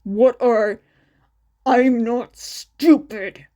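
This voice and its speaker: A woman's voice: a little high, steady tone, very slow, dark tone. Woman as a hot-tempered, barking teenage boy. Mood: angry, demanding.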